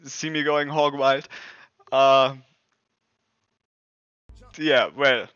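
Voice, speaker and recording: A man's voice: medium pitch, little pitch movement, drawn-out words, fairly bright tone, clean tone, dry recording. A young man in his twenties, a native German speaker, chatting casually on a headset mic in a small room at home. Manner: rambling, self-correcting, lots of fillers. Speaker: reaction video host. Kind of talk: casual reaction talk. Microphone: gaming headset mic. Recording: dull lo-fi headset audio.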